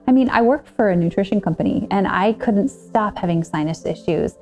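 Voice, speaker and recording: A woman's voice: mid-range voice, lively intonation, a little fast, darkish tone, faint music behind. A woman in her thirties earnestly telling her own story as a client and coach, in a scripted testimonial. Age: 30s.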